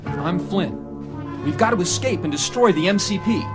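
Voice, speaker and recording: A man playing a cocky, energetic video game whiz on a read-along record, speaking in an informal, eager way. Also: high voice, normal speed, over loud music. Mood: urgent.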